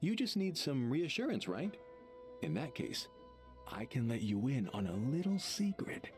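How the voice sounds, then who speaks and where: A man's voice: medium pitch, sing-song, measured pace, darkish tone, faint music behind. A man voicing a smug, gossipy true believer in an anime game, condescending and emphatic. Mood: confiding, insistent.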